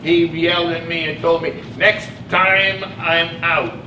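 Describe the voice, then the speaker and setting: A man's voice: on the high side, steady tone, takes it slowly. Actor as anxious childlike man, echoey. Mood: anxious, pleading. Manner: timid, pleading, simple. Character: anxious, childlike devout man, group-home resident. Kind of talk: live one-act stage play dialogue. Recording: echoey distant stage, clear background noise.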